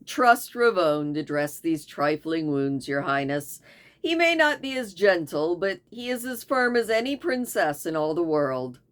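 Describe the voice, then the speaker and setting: A woman's voice: fairly low pitch, sing-song, a little slow. A woman voicing a wry, gallant young hunter. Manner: light and joking.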